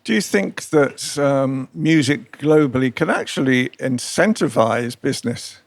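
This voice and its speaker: A man's voice: mid-range voice, expressive, measured pace, creaky, no room sound. A man working as an interviewer, putting questions to a guest.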